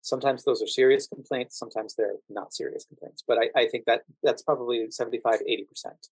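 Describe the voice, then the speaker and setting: An American man speaks as an interview guest in a close, dry sound with little room echo. He explains things thoughtfully and in a measured manner, at a middling pitch. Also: fairly even intonation, husky. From United States. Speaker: podcast guest, actor working as a standardized patient.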